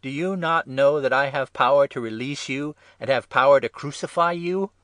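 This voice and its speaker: A man voicing an exasperated Roman governor appealing to a crowd, loud and declamatory, in a dramatised scripture reading. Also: mid-range voice, moderate pace, no echo, voice pushed up, natural voice. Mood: threatening.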